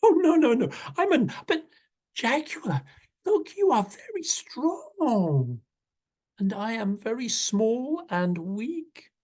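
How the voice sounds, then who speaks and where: A storyteller voicing a small, tricky rabbit in a bilingual children's story, in a squeaky, rapid, pleading voice. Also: big pitch swings, darkish tone. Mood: wheedling.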